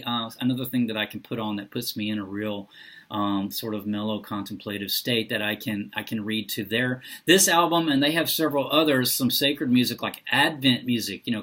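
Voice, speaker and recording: A man's voice: mid-range voice, a bit bright. Hesitant man chatting casually in a vlog. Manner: hesitant, halting, searching for words. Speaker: book and reading vlogger. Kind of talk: casual unscripted vlog talk.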